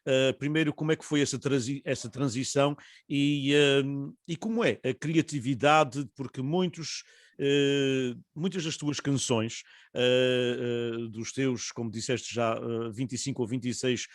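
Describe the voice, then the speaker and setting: A man's voice: mid-range voice, fairly even intonation, unhurried, dry recording, studio quality. Moderating man with winding questions. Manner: long winding questions with many hesitations. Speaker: panel moderator. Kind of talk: online panel interview.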